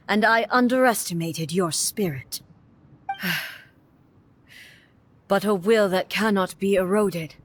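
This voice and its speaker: An actress voicing a stern, composed female warrior ruler in a video game cutscene, speaking in a measured, formal, solemn way. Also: medium pitch, slight background noise, natural voice. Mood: calm. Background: faint game music.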